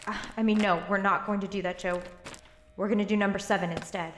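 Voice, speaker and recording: A woman's voice: mid-range voice, steady tone, fast talker, soft background music, close to an everyday voice. A woman playing a determined, anxious young college student in an audio drama. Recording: roomy, faintly noisy recording.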